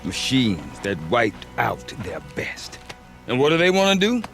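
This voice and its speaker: A man's voice: medium pitch, wildly swooping pitch, a little slow, creaky, over music, full character voice. An actor playing a cynical male merchant who grumbles sardonically about the locals in a game cutscene. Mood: sardonic. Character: cynical male trader, scornful of local folk.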